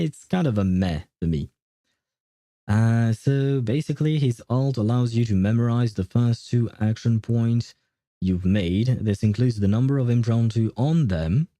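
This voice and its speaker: A man's voice: lowish voice, dark tone, no echo, clean studio sound. A man chatting casually as a game livestreamer, rambling and hesitant as he thinks aloud.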